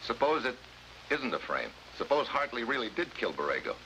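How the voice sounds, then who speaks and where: An actor playing a wry, tough American special agent in a 1950s spy drama, with an American accent and sardonic delivery. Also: male voice, medium pitch, big pitch swings, normal speed, pushed and bright. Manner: wisecracking, sardonic, hard-boiled. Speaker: actor in the lead role. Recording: noisy vintage 1950s broadcast recording.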